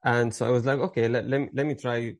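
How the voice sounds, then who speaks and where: A man's voice: medium pitch, fairly even intonation, fairly quick. A man in his thirties from Syria, a podcast guest telling his story in a rambling way, with fillers and restarts. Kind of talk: casual interview storytelling.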